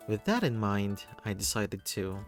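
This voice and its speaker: Male narrator, low register, expressive first-person story reading with theatrical flair, faint music underneath. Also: unhurried. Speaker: reader of a visual novel.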